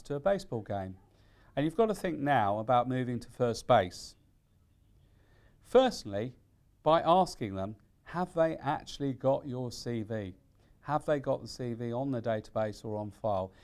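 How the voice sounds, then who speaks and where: A man giving an instructional presentation as a careers consultant, with deliberate, emphatic phrasing. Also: mid-range voice, a little mellow.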